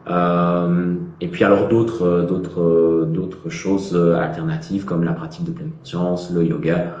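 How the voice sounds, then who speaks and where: A man's voice: sits low, little pitch movement, measured pace, darkish tone, slight background noise. A man with a Belgian French accent, a guest on a live video talk, speaking with many hesitations and fillers. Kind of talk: casual live interview. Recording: echoey, dull audio.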